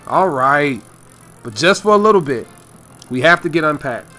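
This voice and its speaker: A man as a bright-voiced, agreeable dad. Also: fairly high pitch, animated, normal speed, soft background music. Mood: giving in. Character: busy, indulgent father of young children. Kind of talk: family radio play acting.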